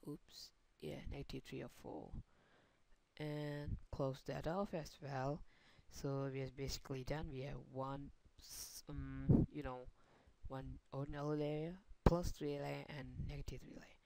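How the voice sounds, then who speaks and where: A man hosting a screen-recorded software tutorial in a hesitant, halting way. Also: medium pitch, level tone, vocal fry, poor audio. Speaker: tutorial host.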